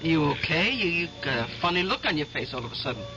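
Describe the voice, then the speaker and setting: A breezy lounge waitress. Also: female voice, low-pitched, big pitch swings, fast. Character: friendly chatty cocktail lounge waitress. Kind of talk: 1970s radio drama acting. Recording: dull lo-fi radio broadcast with music.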